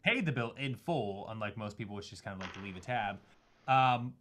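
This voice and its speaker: A man with a bright, forceful voice narrates a tabletop game as its game master. He is casual and halting, improvising descriptions and swearing freely. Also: lowish voice, expressive, a little hiss or hum.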